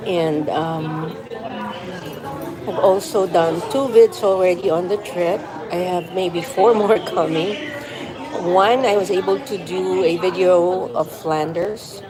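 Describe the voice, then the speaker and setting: A woman's voice: on the low side, slow, rough recording. Chatty woman streaming from a busy café. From Philippines. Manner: chatty, rambling, reads viewer comments aloud. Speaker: vlogger live-streaming. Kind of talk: casual live-stream chat. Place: busy coffee shop. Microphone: phone on a mobile hotspot. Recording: crowded café chatter behind. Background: café crowd chatter.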